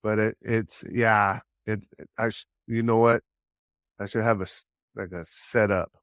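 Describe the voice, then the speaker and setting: A man's voice: fairly low pitch, steady tone, a little slow, fairly bright tone, no echo, studio quality. A man in a casual chat who agrees readily and thinks aloud about improving his podcast. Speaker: podcast host being audited. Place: Saigon.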